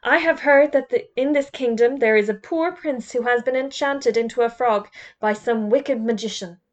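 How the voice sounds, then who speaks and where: A schoolgirl playing princess, speaking in a high, lofty and formal voice, as a woman reading aloud puts her on. She sounds commanding and full of her own importance in this storybook tale. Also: moderate pace, close to an everyday voice. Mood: grand. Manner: high-pitched, haughty and formal. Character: young schoolgirl playing a grand, bossy princess.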